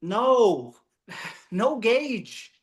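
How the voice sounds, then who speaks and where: A woman's voice: fairly high pitch, very animated, very slow, slightly rough, exaggerated voice. A woman acting a scared, inexperienced young male oil rig worker in a script read, hesitant and anxious. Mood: panicky, unsure. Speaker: actor.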